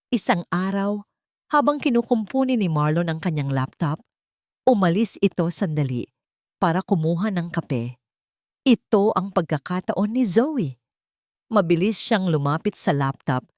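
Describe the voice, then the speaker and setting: Woman narrating a romance drama story, kinda low voice, lots of up and down, very dry close sound. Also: darkish tone, no room sound.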